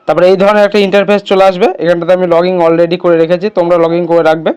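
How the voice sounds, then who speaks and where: Male tutorial host: high-pitched, smooth clear voice, casual and instructional, step by step. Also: level tone, rapid-fire, a little mellow. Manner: instructional, addresses viewers as friends.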